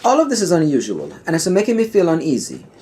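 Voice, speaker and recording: A man voicing an earnest, concerned Jedi mentor with a measured, formal manner in a parody audio play. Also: fairly high pitch, wildly swooping pitch, mellow, a little hiss or hum, pitched above the performer's own voice. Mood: uneasy warning.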